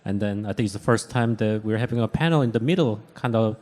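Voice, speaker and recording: A man with a Korean accent introducing himself on a conference panel, speaking haltingly with many fillers. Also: fairly low pitch, darkish tone. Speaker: company co-founder and panelist.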